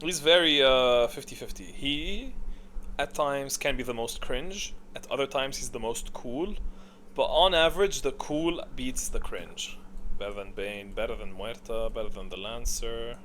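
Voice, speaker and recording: A man's voice: mid-range voice, animated, normal speed, fairly bright tone, a little hiss or hum. A man giving blunt, casual, rambling commentary on game characters, thinking aloud and second-guessing himself. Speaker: gaming content creator.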